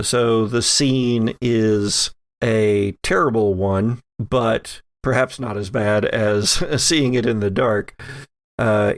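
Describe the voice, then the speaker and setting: Slow-paced man narrating a horror game. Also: mid-range voice, creaky, no room sound. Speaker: game master.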